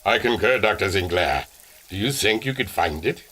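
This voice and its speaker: A man's voice: on the low side, animated, moderate pace, bright, forceful tone, breathy edge, heavily acted. A man doing a formal engineer. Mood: analytical. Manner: formal, precise wording. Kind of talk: audio drama acting.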